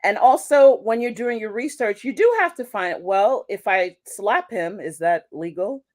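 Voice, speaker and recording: A woman's voice: mid-range voice, expressive, unhurried, darkish tone. A woman who works as an international manager, speaking as a guest in a conversational interview. She talks reflectively, restarting sentences and asking rhetorical questions. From United States.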